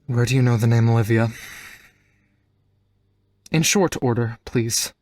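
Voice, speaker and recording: A man's voice: medium pitch, measured pace, darkish tone, no echo, clean studio sound, natural voice. A man voicing a wary, formal woman fighter who phrases things carefully, in a tabletop roleplay. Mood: stern.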